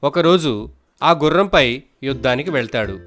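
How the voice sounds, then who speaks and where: Animated male storyteller over soft music. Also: mid-range voice, normal speed. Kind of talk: scripted narration of children's moral stories.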